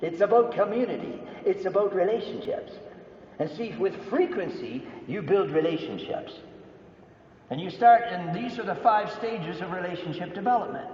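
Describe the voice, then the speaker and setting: Canadian man, fairly high voice, teasing expo speaker, distant mic, echoing hall, dull sound. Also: animated, moderate pace, a little mellow, slight background noise. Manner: playful, teasing audience interaction. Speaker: business speaker. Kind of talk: live motivational talk.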